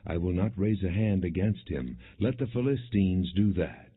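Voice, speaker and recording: Guy narrating as an imperious jealous old testament king, low husky voice, phone-like audio. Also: expressive, normal speed. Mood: scheming. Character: jealous, commanding king of ancient Israel. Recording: muffled, phone-like narrow band.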